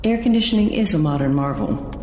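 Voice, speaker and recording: A man's voice: a little high, very animated, fast, mellow. A man playing a sardonic, argumentative debater in an audio drama. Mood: wry. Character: sardonic, argumentative defender of human ingenuity. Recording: echoey, reverberant, faint noise.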